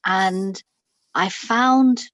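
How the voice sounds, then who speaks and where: A woman's voice: mid-range voice, very slow, a bit bright, no echo. A middle-aged woman from Pakistan, a guest telling her personal story in an interview, frank and reflective, with many fillers and restarts.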